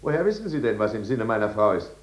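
Anxious yet proud inmate, lowish register, earnest formal diction, intense, vintage German radio drama lead. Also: male voice, very animated, fast. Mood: indignant. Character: anxious, proud, embittered male prisoner telling his downfall. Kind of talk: 1950s German radio drama narration and acting.

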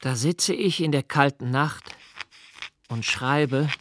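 An actor playing a brooding, solitary diarist in a radio play, with a measured, introspective delivery and an anguished, searching temper. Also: male voice, mid-range voice, music in the background, lightly acted. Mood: anguished questioning. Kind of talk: literary monologue in a radio play.

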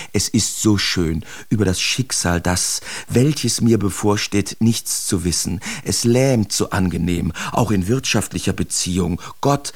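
A sardonic, creaky-voiced young artist. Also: male voice, on the low side. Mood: bitterly ironic. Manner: theatrical, sarcastic, emphatic enunciation. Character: bitter, sardonic young German artist writing letters. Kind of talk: literary radio drama monologue, letters read aloud.

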